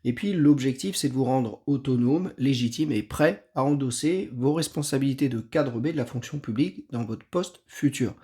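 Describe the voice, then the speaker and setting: Guy in his 50s, gravelly voice, calm earnest coaching talk. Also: medium pitch. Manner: measured, earnest, with occasional restarts. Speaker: exam prep coach. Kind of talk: scripted talk to camera.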